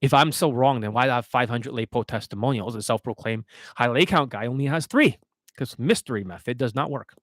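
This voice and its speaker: A man's voice: medium pitch, a little fast, a bit bright, no echo, studio quality. A man speaking as a dating coach on a livestream, answering questions in a blunt, instructional way with frequent rhetorical check-ins.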